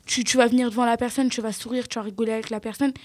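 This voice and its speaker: Blunt teen girl, higher voice, dry booth sound. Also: level tone, very fast, no room sound. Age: teens. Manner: blunt, outspoken, trailing off quietly. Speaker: teen guest. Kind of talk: casual discussion.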